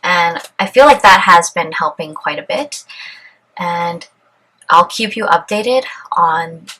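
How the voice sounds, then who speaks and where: A woman in her twenties from Canada, whose first language is Cantonese, calmly and earnestly talking through a personal life update. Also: fairly low pitch, poor audio. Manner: calm, earnest and measured. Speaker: vlogger. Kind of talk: reflective personal vlog, partly read aloud.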